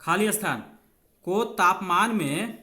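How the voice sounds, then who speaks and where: A man's voice: high-pitched, lively intonation, slow pace, bright, forceful tone. A man giving an informal bilingual exam lesson, talking to students as friends while explaining questions step by step. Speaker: exam coaching teacher.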